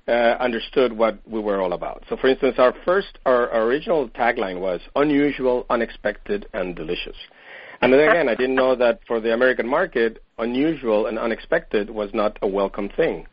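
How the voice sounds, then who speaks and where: A middle-aged man from Venezuela, a chef and company founder telling his story in a radio interview, animated and laughing lightly. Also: on the low side, slightly rough. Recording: dull lo-fi radio sound.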